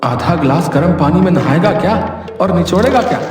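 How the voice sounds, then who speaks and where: Man doing a crafty servant, very high-pitched, deferential, cheeky, cartoon, distant echoey recording, music behind. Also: animated, fairly quick, a little mellow. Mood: muttering. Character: simple, servile, cheekily crafty male household servant. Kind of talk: Hindi cartoon voice acting.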